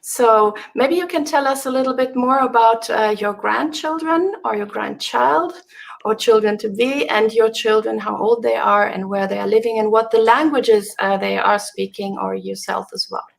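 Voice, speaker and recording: A woman moderating a live video panel, introducing a guest and then asking polite follow-up questions. Her voice sits fairly high, and she pauses with small filler sounds between phrases. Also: creaky. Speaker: host.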